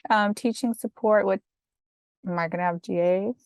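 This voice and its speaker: A calm, soft-spoken woman giving chatty career advice over slides, with fillers and little laughs. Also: medium pitch, normal speed, no echo, studio quality. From family from Mexico. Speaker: academic career coach. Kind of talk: casual instructional talk over slides.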